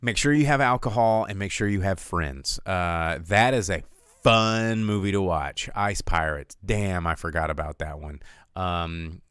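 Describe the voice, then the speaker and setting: A man's voice: deep voice, a little slow, fairly bright tone, clean tone, dry recording, clean studio sound. A man hosting a casual, rambling livestream of film chat, with an opinionated, jokey, conversational way of talking. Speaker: livestream host reviewing films.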